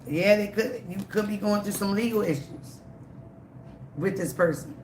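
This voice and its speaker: A tarot reader giving a live reading, emphatic and repetitive, slightly raspy from a cold. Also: medium pitch, moderate pace, darkish tone, roomy sound, a little hiss or hum, rough recording. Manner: emphatic, repeats phrases, frequent "okay?" and "all right?".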